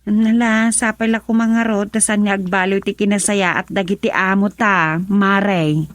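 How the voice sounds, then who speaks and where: An actress playing a gentle married domestic helper in a radio drama, with a Filipino accent. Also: female voice, mid-range voice, fairly even intonation, moderate pace, lightly acted. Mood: chatty. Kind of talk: Ilocano radio drama acting.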